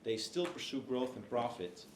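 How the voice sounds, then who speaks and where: Male panelist, mid pitch, hesitant with fillers, roomy hall sound, faint noise. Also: animated, normal speed. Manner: hesitant, many fillers and restarts. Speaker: book co-author on a panel. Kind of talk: panel discussion.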